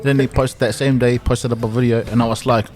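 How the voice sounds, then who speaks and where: A man's voice: mid-range voice, level tone, quick pace, darkish tone. A man bantering casually on a podcast in a teasing, slangy way. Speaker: podcast crew member. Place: filmed podcast at a hotel venue. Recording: very noisy room ambience.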